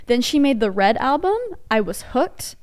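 Young woman, slight Southern accent, giggly, rambling, a little higher voice, podcast co-host. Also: very animated, normal speed, a little mellow, smooth. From Texas, USA. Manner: giggly, rambling, goes off on tangents. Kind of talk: casual chat.

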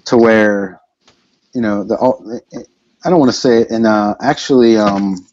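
Man, lowish voice, rambling interviewee, dry and dull remote audio. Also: unhurried, darkish tone. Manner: rambling, lots of fillers and restarts. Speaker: band member, vocalist and lyricist. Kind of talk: casual podcast interview.

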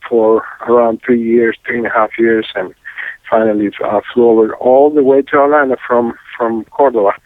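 A man from Argentina, speaking as an interview guest, who talks haltingly with many "uh" and "um" fillers. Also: medium pitch, little pitch movement, dry recording. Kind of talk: casual testimony interview. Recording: dry tinny phone-like line.

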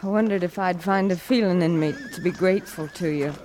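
An actress playing a bitter, wounded but proud frontier widow in a radio western, speaking haltingly under emotional strain. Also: female voice, fairly low pitch, a crowd in the background, natural voice. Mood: subdued, wistful. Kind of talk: old-time radio western acting.

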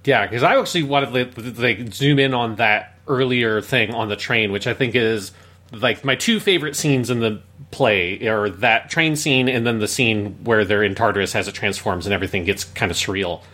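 A man's voice: lowish voice, normal speed, bright, forceful tone. A man co-hosting a podcast, giving casual but analytical commentary in a review discussion, explaining at length with emphasis. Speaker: podcast co-host.

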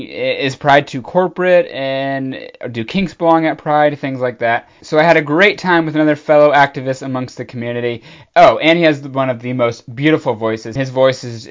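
A man's voice: a little high, lo-fi sound. A man hosting a casual podcast interview, talking loosely with many restarts. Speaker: podcast host. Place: at home in Southern California.

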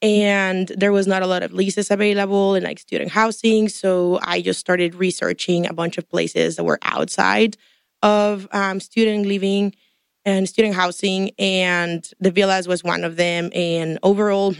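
A woman's voice: mid-range voice, fairly even intonation, a little slow, creaky, clear, resonant tone, dry recording. A young woman from Mexico, chatting on a podcast as a guest in a chatty, detailed, upbeat way. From Tampico, Mexico. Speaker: guest, MBA student. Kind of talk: casual podcast chat.

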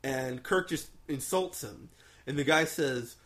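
A man of medium pitch leads a loose fan discussion of films. He is enthusiastic and emphatic, swearing freely and telling things like an excited storyteller. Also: measured pace. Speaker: host of a film review discussion. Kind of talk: casual, profane fan chat.

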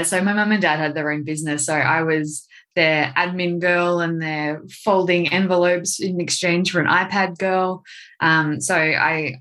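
A young woman with an Australian accent chats easily as a guest, talking through her work with plenty of ums and the odd laugh. Her voice sits on the lower side for a woman. She sounds relaxed and conversational. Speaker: guest, social media marketer. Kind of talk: casual podcast interview.

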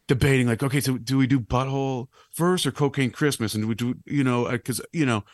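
A man in his thirties with a low, slightly raspy voice, chatting casually on a podcast as a guest, rambling and self-deprecating. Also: quick pace, no room sound. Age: 30s. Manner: rambling, self-deprecating, animated when joking. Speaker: clown and musician guest.

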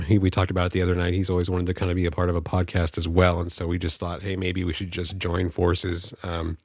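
Male podcast host, Californian accent, low gravelly voice, informal and rambling, recorded dry and close. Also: monotone, quick pace, dry recording. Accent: Californian American. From California, United States. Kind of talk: casual solo podcast monologue.

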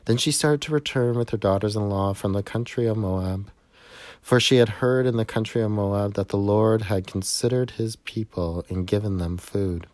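A man reading a scripture narrative aloud in a measured way, recorded indoors in a church sanctuary. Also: fairly low pitch, darkish tone, no echo, clean studio sound. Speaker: scripture reader.

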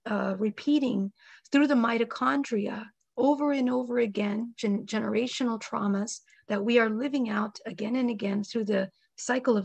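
Hoarse woman getting over a cold, gravelly and breathy, dry close sound, lots of ums. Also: a little high, dry recording. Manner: slow, measured, many ums. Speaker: panel guest. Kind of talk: spiritual discussion.

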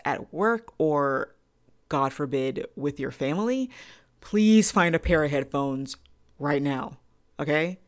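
A woman hosting a podcast review in an enthusiastic, emphatic and playful way. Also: sits low. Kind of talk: semi-scripted podcast review.

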